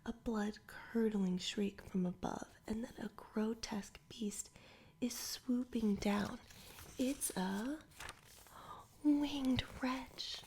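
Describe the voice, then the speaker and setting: A woman reading a children's adventure story aloud entirely in a soft whisper, in ASMR style. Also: medium pitch, vocal fry, a little hiss or hum. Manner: whispers throughout. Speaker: storybook reader.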